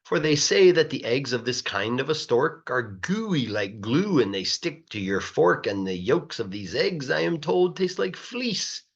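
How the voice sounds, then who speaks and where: A man reading a children's rhyming story aloud in a playful, rhythmic, expressive way, like a teacher. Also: mid-range voice, a little slow, hardly any pauses, slightly rough, highs cut off. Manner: animated, playful, rhythmic verse delivery.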